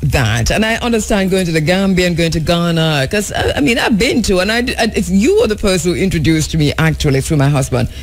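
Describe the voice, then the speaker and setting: A woman's voice: fairly low pitch, expressive, fairly quick, hardly any pauses. A woman hosting a talk radio show from the studio, speaking earnestly with frequent fillers and restarts. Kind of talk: talk radio commentary and interview.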